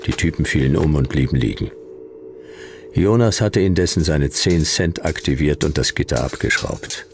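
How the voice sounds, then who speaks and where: An actor playing a hardboiled private detective in a sci-fi radio drama, laconic and dry, with a gravelly voice. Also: male voice, extremely low pitch, normal speed, a little hiss or hum. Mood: laconic, deadpan. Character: hardboiled male private detective narrating his own sci-fi case. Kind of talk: radio drama narration and dialogue.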